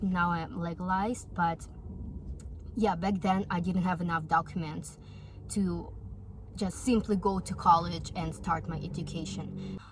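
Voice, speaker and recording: A woman's voice: mid-range voice, a little hiss or hum. A woman in her twenties from Russia with a Russian accent and Russian as her first language. She speaks earnestly and encouragingly in a casual talk to the camera. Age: 20s. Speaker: vlogger.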